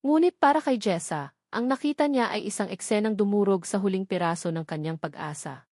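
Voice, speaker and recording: A woman storyteller narrates a sentimental love story with earnest feeling. Her voice sits at an average female pitch, recorded dry and close with no room sound. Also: a little fast, a little mellow, dry recording. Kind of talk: scripted dramatic narration of a romance story.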